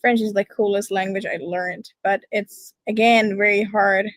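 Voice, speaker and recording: A woman's voice: mid-range voice, fairly even intonation, normal speed, no echo. A woman chatting eagerly in a casual live stream.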